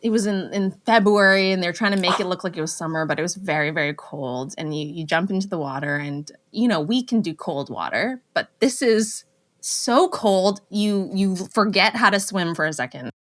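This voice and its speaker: A young woman from Canada, guesting on a talk show, her voice sitting a little low. She tells stories in a loose, chatty way, full of fillers and quick laughs. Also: a bit bright. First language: Cantonese. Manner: chatty storytelling, laughs often, many fillers. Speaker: actress guest. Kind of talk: casual interview chat.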